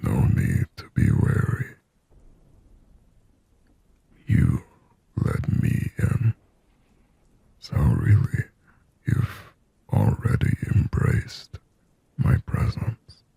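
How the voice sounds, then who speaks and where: A man whispering as a very deep, gravelly demon. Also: huge pitch swings, no room sound, full character voice. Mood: eerie, intimate. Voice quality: breathy, husky whisper with low gravelly rasp. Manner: slow, halting, hushed close-to-ear delivery. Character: lonely, possessive sleep paralysis demon, yearning and intimate. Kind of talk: scripted ASMR roleplay monologue. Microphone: close microphone, dry.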